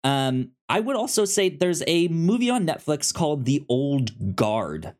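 Male podcast co-host, halting and stammering, repeated syllables, very animated intonation, casual review chat. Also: fairly high pitch.

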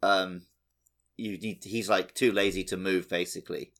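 A man with a slightly low-pitched voice co-hosts a gaming podcast. He explains things casually, in everyday conversational speech. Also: little pitch movement, moderate pace, fairly bright tone. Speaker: podcast co-host.

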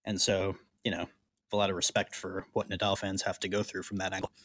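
A man's voice: low-pitched, little pitch movement, a little fast, a bit bright, dry recording, clean studio sound. A man co-hosting a podcast, discussing sports casually in a blunt, opinionated way. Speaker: podcast co-host.

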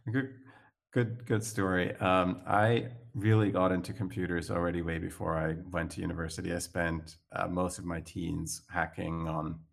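Man with swiss accent, very low voice, flat gravelly delivery, hesitant guest. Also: measured pace. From Switzerland. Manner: hesitant, many fillers and restarts. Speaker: guest, startup founder. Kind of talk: casual interview chat.

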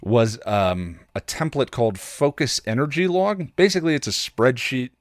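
Man, podcast host, very lively intonation, self-deprecating, casual monologue, close dry recording. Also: medium pitch, moderate pace, no echo. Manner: animated, self-deprecating, rambling; acts out his own inner dialogue.

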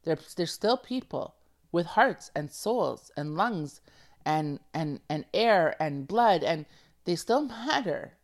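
Earnest woman, soft lower voice. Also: expressive, takes it slowly. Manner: emotional, earnest, repeats phrases for emphasis. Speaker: podcast host. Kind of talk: casual heartfelt monologue.

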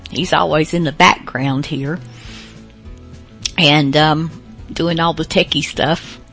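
Woman podcast host, unhurried, lowish gravelly voice, hesitant and rambling, casual unscripted delivery. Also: steady tone, fairly bright tone, faint music behind. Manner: hesitant, rambling, pausing often. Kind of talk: casual, unscripted show introduction.

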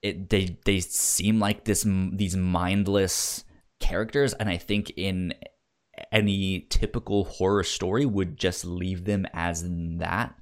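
A man's voice: sits low, fairly even intonation, takes it slowly. A man co-hosting a casual film discussion, stuttering often as he repeats sounds and words. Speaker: podcast co-host discussing films.

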